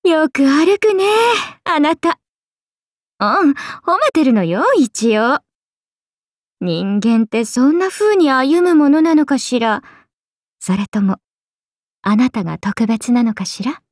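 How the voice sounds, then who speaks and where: A woman's voice: very animated, slow pace, long pauses, no room sound, studio quality, full character voice. A woman voicing a playful, teasing serpent-oni goddess for a mobile game, with breezy big-sister airs and a drawling, sake-loving manner. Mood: relaxed, musing. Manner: breezy, drawling, teasing, slipping into low murmurs. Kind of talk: Japanese mobile game character voice lines.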